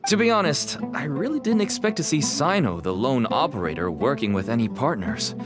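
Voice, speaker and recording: A nervous, greedy middle-aged dealer played by a man talks in a wheedling, uneasy way and sighs often. His pitch swings widely around a middle register. Faint music plays behind him. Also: sing-song, brisk, lightly acted. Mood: warning. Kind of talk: game voice acting.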